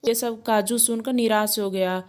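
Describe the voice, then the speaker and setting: A woman with a fairly high voice serves as narrator. She delivers scripted storytelling narration for an animated moral tale. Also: moderate pace.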